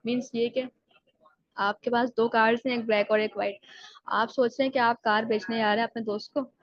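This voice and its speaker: A woman giving a recorded exam-prep lecture, who reads English definitions slowly and then explains them in Urdu. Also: on the high side, fairly even intonation, no echo. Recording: dull lo-fi sound.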